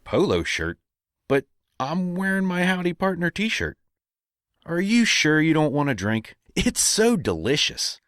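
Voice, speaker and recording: A man's voice: a little high, wildly swooping pitch, a little slow, fairly bright tone, dry recording, clean studio sound, full character voice. A man playing a naive, chipper, polite would-be cowboy with an American accent in a comic western audio drama. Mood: dismayed.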